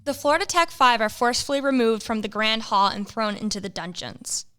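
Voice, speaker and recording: Bright, smooth woman narrator. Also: on the high side, fairly quick. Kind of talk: scripted comic narration.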